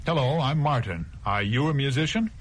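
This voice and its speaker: A man voicing a friendly adult neighbor in a scripted language course dialogue, with brisk, clear textbook delivery, heard from a distance. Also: medium pitch, expressive.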